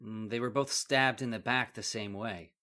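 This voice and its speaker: A bold young policeman played by a man in an old-time radio play. His mid-pitched voice is eager and jokey, full of colloquial swagger. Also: steady tone, moderate pace, bright, forceful tone, close to an everyday voice. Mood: joking, bold.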